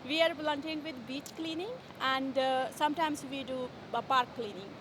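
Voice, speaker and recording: Woman, high voice, hesitant with fillers, beach clean volunteer, noisy outdoor background. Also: moderate pace.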